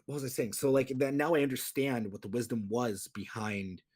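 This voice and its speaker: A man's voice: mid-range voice, a little fast, a little mellow. A man in his thirties, born in the United States, chatting as a podcast guest in a rambling way full of fillers and restarts. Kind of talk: casual interview chat.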